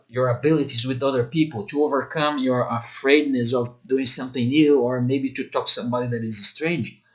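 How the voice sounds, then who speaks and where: Man teaching, halting speech. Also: fairly high pitch, low-quality recording. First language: Portuguese. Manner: halting non-native English with many fillers. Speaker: English teacher. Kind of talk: online course talk.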